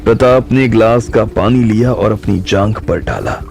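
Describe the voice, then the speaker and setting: A man narrating an audio drama in calm, measured storytelling. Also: lowish voice, creaky. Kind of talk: slow scripted audiobook narration. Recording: soft music bed.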